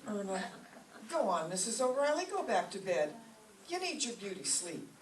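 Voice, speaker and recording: A woman's voice: mid-range voice, big pitch swings, normal speed, close to an everyday voice. A woman playing a sensible, exasperated, wisecracking young roommate in an old-time radio comedy. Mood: placating. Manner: dry, wisecracking. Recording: echoey stage, distant mic, faint noise.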